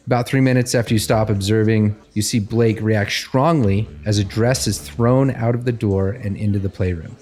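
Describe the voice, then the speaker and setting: A man's voice: lowish voice, darkish tone. A man narrating a role-play scene in the second person, as a game master.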